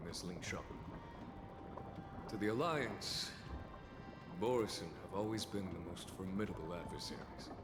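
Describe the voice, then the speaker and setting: A low-voiced, solemn veteran general. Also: male voice, in a large hall. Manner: measured, formal, solemn. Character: composed old male veteran general, grave and courteous. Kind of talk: scripted video game character dialogue.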